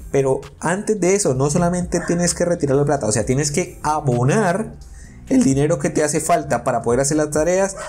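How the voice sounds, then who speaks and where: A man's voice: a little high, warm tone. A man narrates a screen recording, earnestly warning viewers and reading messages aloud with indignation. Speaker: YouTuber warning about an investment scam. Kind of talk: casual screen-recording commentary. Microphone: voice-over on a screen recording.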